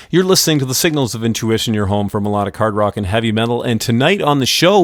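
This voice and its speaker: A man's voice: fairly low pitch, no echo. Chatty Canadian radio host in his thirties. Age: 30s. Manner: chatty, upbeat, rambling. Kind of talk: casual radio interview.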